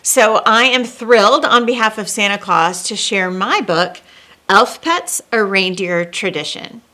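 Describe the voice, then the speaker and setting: Slow-paced woman reading a children's storybook. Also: mid-range voice. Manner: expressive, dramatic pauses and emphasis. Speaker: storyteller and host. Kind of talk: children's picture-book read-along with asides.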